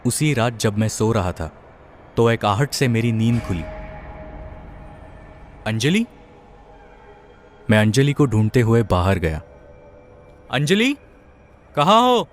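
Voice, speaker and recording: A man's voice: medium pitch, big pitch swings, slow, long pauses, faint music behind. A young man playing a groom who earnestly recounts a painful past, heavy-hearted in tone. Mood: grieving. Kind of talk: first-person story narration.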